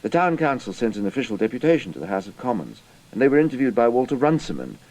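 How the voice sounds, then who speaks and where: A man's voice: mid-range voice, sing-song, quick pace, a little mellow, rough recording. An actor playing an idealistic, indignant young Cambridge man in a radio drama, earnest and hesitant, with an English accent. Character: idealistic, indignant young British Cambridge student turned spy.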